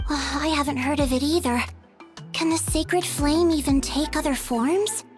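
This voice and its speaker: A woman voicing a bright, excitable and friendly small female character in a video game. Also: high-pitched, unhurried. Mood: puzzled. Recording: music playing behind.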